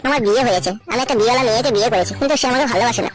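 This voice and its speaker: A woman voicing a lovesick married village man in a comic cartoon, a melodramatic flatterer who gushes and pleads. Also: fairly high pitch, racing, loud music behind the voice, lightly acted. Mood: plaintive. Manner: gushing, melodramatic.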